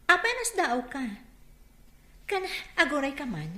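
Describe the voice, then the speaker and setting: Bossy, lively Filipino innkeeper. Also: female voice, high voice, unhurried, exaggerated voice. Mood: curt, dismissive. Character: brisk, bossy young woman hiring for a lodging inn. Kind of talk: radio drama acting. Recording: roomy, echoing sound.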